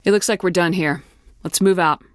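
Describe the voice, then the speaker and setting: A woman's voice: lowish voice, fairly even intonation, a little fast, dry recording, clean studio sound, natural voice. A woman playing a tough, decisive space soldier in a video game, speaking with firm, clipped authority. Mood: matter-of-fact.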